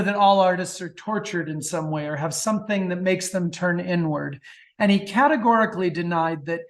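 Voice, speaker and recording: A man answering as a guest author in a conversational interview, often repeating words as he thinks aloud. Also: high-pitched.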